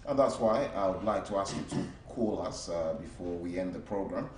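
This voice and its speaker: Brief: male call-in show host, halting and filler-heavy, heard from a distance in a roomy studio with faint hiss. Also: darkish tone. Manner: hesitant, many filler "uh"s, then booming greetings. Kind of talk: live call-in TV program.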